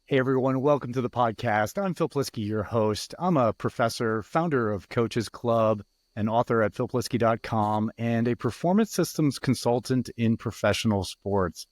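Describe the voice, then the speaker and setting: A man hosting a podcast interview, friendly and relaxed, often pausing with "uh" fillers. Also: mid-range voice, no room sound, clean studio sound. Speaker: podcast host.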